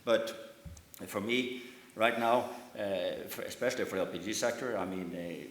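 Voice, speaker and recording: A man with a lowish voice speaks on a business panel and works his way through each point with many restarts and fillers. The room is echoey, and his voice carries off the walls of the conference hall. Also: moderate pace. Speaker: shipping company CEO on a panel.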